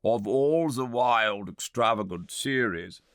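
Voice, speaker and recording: A man voicing a sceptical, dismissive learned gentleman in a storybook reading, with a pompous, emphatic manner. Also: mid-range voice, expressive, slow, dry recording, studio quality, pitched above the performer's own voice. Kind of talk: audiobook character reading.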